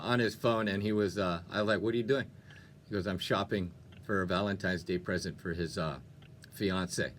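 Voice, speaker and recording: Male radio host, medium pitch with a bright, punchy edge; chatty, playful DJ delivery that wanders into asides. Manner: playful, chatty, self-interrupting. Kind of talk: upbeat music-radio DJ patter.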